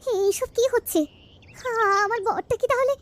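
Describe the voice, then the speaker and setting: A woman's voice: extremely high pitch, normal speed, pitched above the performer's own voice. A woman voicing an anxious, aggrieved village wife in a cartoon story, speaking in a plaintive, colloquial way. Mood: tearful, distressed.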